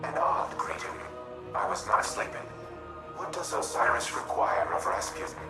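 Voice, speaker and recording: A man voicing a stately artificial intelligence in a robot body for a video game, speaking in a formal, measured, solemn way. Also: medium pitch, lively intonation, lightly acted. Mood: calm, unwavering. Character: Rasputin, stately male war-machine AI in a robot body. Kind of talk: scripted video game dialogue. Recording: loud music behind the voice.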